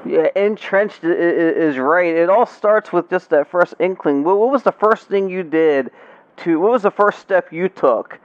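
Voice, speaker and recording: A male podcast host asking casual questions and chiming in with encouraging affirmations. His voice sits a little high, recorded dry with a slightly muffled top end. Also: a little slow, no echo. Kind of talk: casual interview chat.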